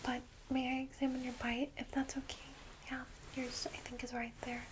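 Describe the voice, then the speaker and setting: A woman plays a kindly female vampire welcoming a newcomer in an ASMR roleplay. Her whisper is soft, breathy and fairly high, with an even, soothing line and hesitant, informal phrasing. Clear background noise sits behind the close microphone. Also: normal speed, close to an everyday voice. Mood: gentle, attentive. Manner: gentle, hesitant, reassuring, informal. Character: friendly, caring female vampire guide, gently reassuring.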